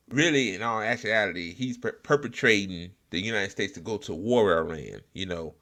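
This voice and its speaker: A man hosting an informal political talk show, speaking in a blunt, sarcastic, profanity-heavy way with halting repetition. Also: on the low side, pushed and bright. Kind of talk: informal political rant with commentary.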